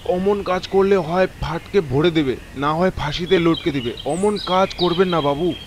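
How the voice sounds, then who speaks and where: A man's voice: fairly high pitch, normal speed, background noise, close to an everyday voice. A man voicing a fearful, deferential railway helper who pleads like a simple rustic servant. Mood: fearful pleading.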